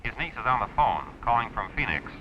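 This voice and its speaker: An actor playing a brisk, obliging male sheriff's dispatcher over a police radio in an old-time radio drama, with a muffled sound. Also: medium pitch, sing-song. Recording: muffled, faintly noisy vintage radio recording.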